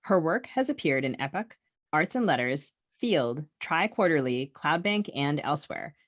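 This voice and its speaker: A woman with a low voice hosts a conversational podcast. Her delivery is wry and teasing, and the sound is close and dry. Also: sing-song, normal speed, no room sound. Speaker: podcast co-host.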